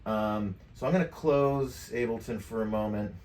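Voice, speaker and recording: Low-voiced man in an echoey room. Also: moderate pace, darkish tone. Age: middle-aged. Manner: informal, thinking aloud while clicking. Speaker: tutorial presenter. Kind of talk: casual step-by-step software tutorial. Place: indoors, home studio room at a computer desk. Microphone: USB microphone at some distance from the mouth. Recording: echoey room with clear background noise.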